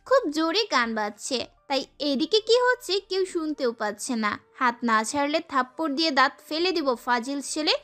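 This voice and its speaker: A young woman playing a sassy, feisty girl who tells her own love story in an expressive, girlish way. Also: high-pitched, normal speed. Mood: angry, defiant. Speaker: narrator and lead actress. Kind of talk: dramatised first-person romance story narration.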